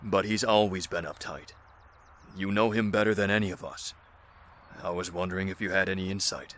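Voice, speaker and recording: A man plays a male leader in a survival audio drama. His low voice has a bright, clear edge, and he speaks with measured calm and dry wit. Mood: calm, wry. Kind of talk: dramatic audio acting, naturalistic dialogue.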